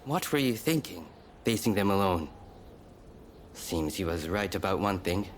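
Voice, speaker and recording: A man's voice: deep voice, lively intonation, measured pace, a little hiss or hum. A man playing an easygoing, confident samurai ally in a video game cutscene, wry and self-assured. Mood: wry camaraderie.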